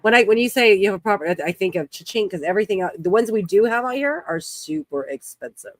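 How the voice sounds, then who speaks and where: A woman's voice: medium pitch, expressive, fast, no room sound. A woman from Southern California with an American accent, chatting casually as a host. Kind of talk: casual chat.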